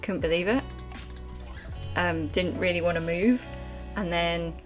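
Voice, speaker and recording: A woman's voice: fairly low pitch, a little slow, music in the background. A woman streaming a game live, chatting casually and rambling with lots of fillers at a desk microphone. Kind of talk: casual let's-play commentary chatting with chat.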